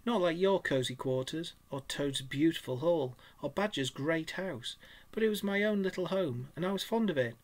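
A man's voice: on the high side, lively intonation, brisk, creaky, pitched above the performer's own voice. A man voicing a timid, homesick male mole who pleads in broken sobs in a storybook reading. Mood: sobbing.

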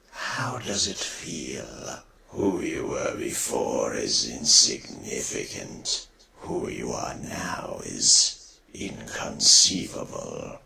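A man voicing a menacing, grandiose eldritch god from a video game, slow and theatrical, gravelly and sinking into hissed whispers. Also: rumbling low, sharp tone, pitched below the performer's own voice, heavily acted. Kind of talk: video game villain monologue. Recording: reverberant, with faint music.

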